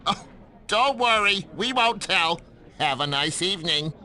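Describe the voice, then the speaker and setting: A man's voice: high voice, lively intonation, a little slow, pushed and bright, exaggerated voice. An actor playing a chatty, genial male socialite at an embassy party in a video game. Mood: sociable. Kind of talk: video game cutscene acting.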